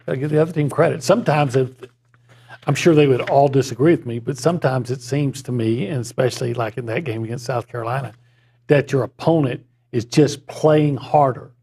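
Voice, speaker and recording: A man talking sports as a columnist on a studio show, measured and analytical, with dry asides. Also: medium pitch, dark tone, husky. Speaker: sports columnist and regular guest. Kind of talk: casual sports talk chat. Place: broadcast studio.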